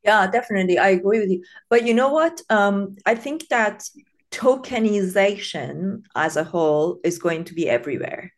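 A woman's voice: mid-range voice, lively intonation, moderate pace. A woman from Iran hosting a casual podcast interview, thoughtful and hesitant, with many fillers and restarts.

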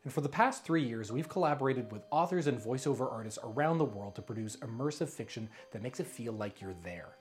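A man reading a scripted promotional pitch as a creative director, with dramatic storyteller-like emphasis. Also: animated, fairly quick, few pauses, soft background music.

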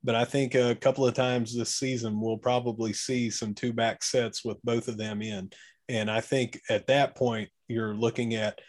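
A man's voice: mid-range voice, little pitch movement, normal speed. A man co-hosting a sports podcast, thinking aloud about football in a casual, speculative, conversational way. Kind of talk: casual football analysis chat.

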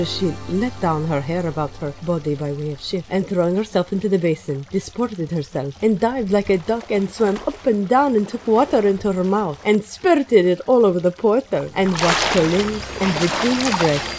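A woman's voice: lowish voice, moderate pace, hardly any pauses, darkish tone, poor audio. A woman reading scripted literary narration with archaic storybook phrasing, as the narrator of an audio drama. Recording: music playing under the voice.

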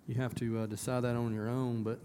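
A hoarse man with a drawl pastors his church in a relaxed, folksy voice. His pitch sits mid-range, and he leans into emphatic bursts. A faint hiss runs under the church sound. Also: a little fast. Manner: folksy, conversational, rising to emphatic. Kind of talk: casual church announcements and sermon. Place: church sanctuary.

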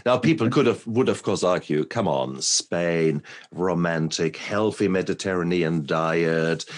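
A man from Germany hosting a podcast interview, enthusiastic and quick to laugh. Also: low voice. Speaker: podcast host. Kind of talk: conversational interview.

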